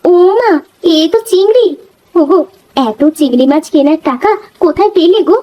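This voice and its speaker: A woman's voice: very high voice, animated, moderate pace, mellow. A woman voicing a dutiful, caring young village wife and daughter-in-law in a cartoon, with a polite, homely manner. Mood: surprised. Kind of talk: cartoon voice acting.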